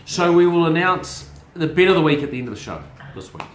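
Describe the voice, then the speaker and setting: A man's voice: fairly high pitch, big pitch swings, quick pace, a little hiss or hum. A middle-aged man, hosting a casual family chat show about horse racing, chatty and prone to repeating words while he searches for them. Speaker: racing show host and syndicate manager. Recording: roomy echo.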